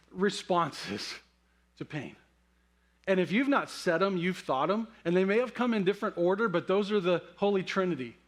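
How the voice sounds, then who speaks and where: A middle-aged man preaching a sermon as a pastor, telling stories conversationally and leaning into dramatic, weighted pauses. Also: high voice. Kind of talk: sermon with personal storytelling.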